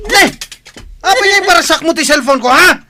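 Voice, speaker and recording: A man's voice: very high-pitched, lively intonation, moderate pace, heavy background noise. A man acting an angry, spiteful husband in a heated audio drama. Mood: furious, shouting. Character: angry, spiteful young husband quarrelling with his partner.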